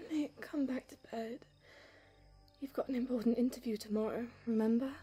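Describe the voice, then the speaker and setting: A woman's voice: on the high side, slow, mellow, husky, soft background music, exaggerated voice. A woman playing a modest, vulnerable young assistant who speaks hesitantly and adoringly in an audio drama. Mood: sleepy, affectionate. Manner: hesitant, self-effacing.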